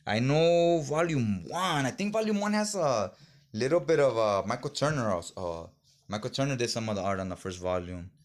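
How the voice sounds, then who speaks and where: A man's voice: mid-range voice, animated, normal speed. A man chatting casually in slangy, informal fan talk as he reviews things for a vlog. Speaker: comic book haul reviewer.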